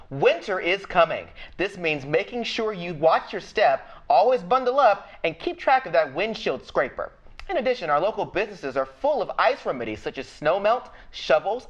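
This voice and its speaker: A man's voice: high-pitched, fairly bright tone, dull audio. A young man reading a scripted student TV news report.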